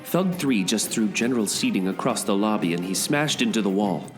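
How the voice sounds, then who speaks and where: A man acts a fighting dance captain in a comedic radio play. He is bossy and theatrical, with a lowish, very lively voice over loud music. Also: fairly quick, lightly acted. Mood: worried. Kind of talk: comedic audio drama acting. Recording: loud music bed underneath.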